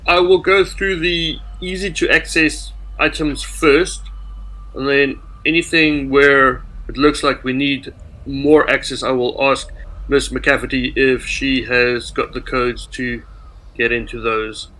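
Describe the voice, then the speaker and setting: A man playing a tabletop roleplaying game, setting out his character's plans in a measured way. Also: mid-range voice, steady tone, pushed and bright, rough recording.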